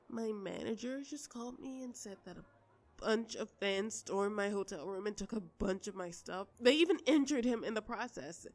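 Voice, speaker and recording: A woman playing a polite, flustered pop star who wants privacy, speaking haltingly and conversationally in an intimate audio roleplay. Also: medium pitch, natural voice.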